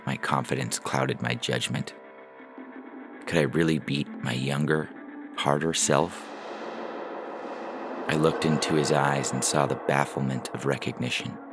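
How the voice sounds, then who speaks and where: A man reads a first-person story in an extremely low voice with heavy creak. Music plays clearly behind his scripted narration. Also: steady tone, unhurried, long pauses. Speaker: fiction podcast reader and announcer.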